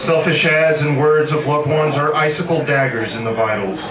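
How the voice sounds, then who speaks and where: A man's voice: a little high, normal speed. A man reading his own poems live at an open mic, with dramatic, emphatic delivery and wry asides to the audience. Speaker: amateur poet reading own work at a poetry night. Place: busy cafe open-mic room. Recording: tinny phone-like sound, distant, echoey, loud crowd. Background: loud audience chatter.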